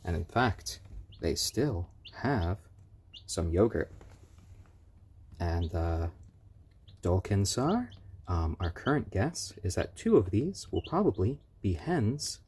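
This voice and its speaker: Mellow-voiced man chatting on a live stream. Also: deep voice, big pitch swings, slow pace, long pauses, slightly rough. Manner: easygoing, chatty, coos over the chicks. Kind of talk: casual live-stream chat answering viewer comments.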